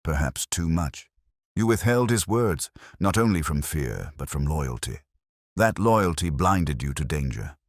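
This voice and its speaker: A man's voice: very deep voice, expressive, measured pace, dry recording, clean studio sound, heavily acted. A man voicing a commanding, heavyset detective in an audiobook, with formal, peremptory pronouncements. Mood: stern. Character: commanding, imperious, heavyset male master detective.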